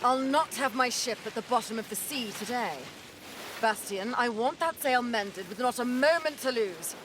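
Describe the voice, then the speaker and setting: Woman acting a high-voiced commanding pirate captain. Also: normal speed, close to an everyday voice. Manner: crisp orders. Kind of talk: audio drama acting. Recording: noisy, hissy ship ambience.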